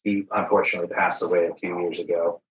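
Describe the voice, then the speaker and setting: A man with a distinctly low voice hosts a conversational podcast. He speaks in a reflective, halting way, often doubling back on words. The room adds a clear echo around his voice. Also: level tone, lo-fi sound. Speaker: podcast host.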